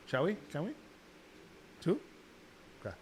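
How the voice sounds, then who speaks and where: A man's voice: fairly high pitch, big pitch swings, a little slow, poor audio. A man chatting casually in a laid-back, slangy way with plenty of swearing. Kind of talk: casual chat. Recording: room recording with clear background noise.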